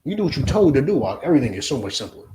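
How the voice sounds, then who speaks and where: A man preaching a forceful lesson to a class, often asking if they understand. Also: mid-range voice, big pitch swings, racing, background noise. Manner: forceful, repeats "you understand?". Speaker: religious teacher. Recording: echoey room.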